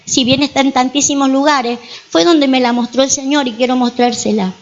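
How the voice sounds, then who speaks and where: A woman's voice: high voice, fairly even intonation, a little fast, muffled recording. A woman pastor with an Argentine accent, preaching a sermon in church and telling stories with frequent rhetorical questions to the congregation. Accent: Argentine Spanish.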